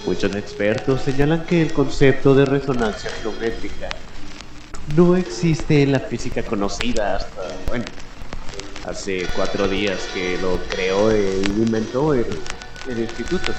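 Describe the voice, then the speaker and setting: Echoey solemn radio mystery newscaster guy acting it, slow dramatic pauses, music playing under him. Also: fairly low pitch, animated. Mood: solemn, deliberate. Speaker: radio host. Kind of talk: scripted mock radio news narration.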